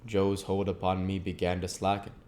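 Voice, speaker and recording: A man's voice: sits low, completely flat delivery, normal speed, darkish tone, slight background noise. A man reading a classic novel aloud as an amateur audiobook narrator.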